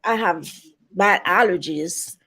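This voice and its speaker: A woman in her forties from Haiti with a heavy Haitian accent, talking as an interview guest in a halting, casual way with many fillers. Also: lowish voice, vocal fry, sharp tone. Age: 40s. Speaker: guest, travel advisor.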